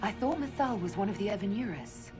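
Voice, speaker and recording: An actress playing a steadfast heroic leader in a video game, questioning in a serious, direct way. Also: female voice, medium pitch, animated, brisk, music in the background, lightly acted. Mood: probing. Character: female leader of an inquisition, probing, steadfast hero. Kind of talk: dramatic video game dialogue.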